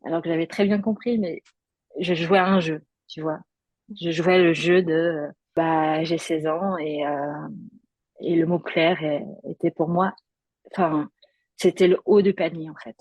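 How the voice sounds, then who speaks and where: A woman's voice: low-pitched, fairly even intonation, a little slow, darkish tone, no echo, muffled recording. A woman guest giving a personal testimony in conversation, hesitant, with many fillers and restarts.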